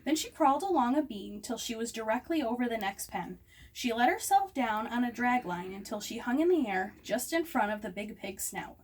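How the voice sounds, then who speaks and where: Woman storyteller for children's book narration, higher voice, expressive delivery, recorded away from the mic. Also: normal speed, slightly rough. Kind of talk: expressive read-aloud narration. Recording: distant microphone, noisy room ambience.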